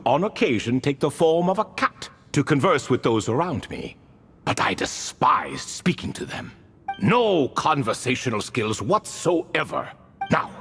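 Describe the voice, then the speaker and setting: Game casting: ancient ibis king spirit, courtly and whimsical, lively mid-range voice, long-winded storyteller. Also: medium pitch, slight background noise. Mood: amused, grand. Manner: theatrical, courtly, long-winded storytelling with rhetorical tag questions. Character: ancient talkative ibis king spirit in borrowed cat form, whimsical, nostalgic. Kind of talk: video game character dialogue.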